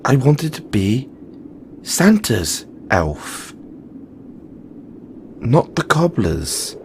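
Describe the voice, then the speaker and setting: A frank male Christmas elf, acted by a man, speaks at an unhurried pace with a very lively, swooping delivery. He is caring and a little weary, chiding yet reassuring, in an informal audio roleplay monologue. There is faint ambient noise behind the voice. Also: medium pitch, exaggerated voice. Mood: wistful.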